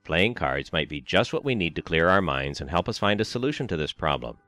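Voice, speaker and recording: A man playing a gentle, coaxing old husband. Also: low-pitched, expressive, fairly quick, dry recording, soft background music. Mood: concerned. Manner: coaxing, reassuring.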